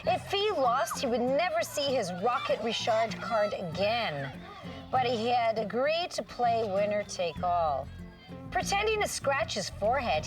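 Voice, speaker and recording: A woman's voice: a little high, recorded from a distance. A woman hosting a children's show, reading a storybook expressively with dramatic little interjections and questions for young listeners.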